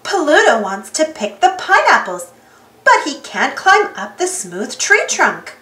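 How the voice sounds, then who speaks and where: A woman reading a children's storybook aloud in a slow, singsong and very expressive way. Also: high-pitched. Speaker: storybook reader. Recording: roomy echo, faint background music.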